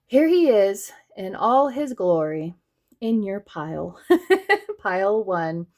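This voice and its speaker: A woman's voice: mid-range voice, sing-song, takes it slowly, darkish tone. A woman from the Southern US with a light Southern accent, giving a chatty tarot reading that speaks straight to her viewers. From Southern United States. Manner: chatty, addresses viewers directly, laughs often. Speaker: tarot reader.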